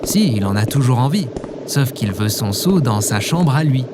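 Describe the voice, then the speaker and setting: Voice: mid-range voice, lively intonation, moderate pace, heavy background noise, lightly acted. An actor playing a hapless, defensive man in an audio drama, an informal and grumbling worrier. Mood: worried. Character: hapless, defensive male archive keeper, affectionate worrier. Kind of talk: scripted audio drama acting.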